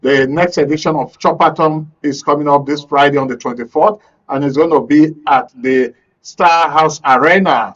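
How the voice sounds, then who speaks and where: A Nigerian man presents a live phone-in programme on radio. His voice sits at a medium pitch, and the studio sound is a little dull. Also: fairly bright tone. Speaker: radio host.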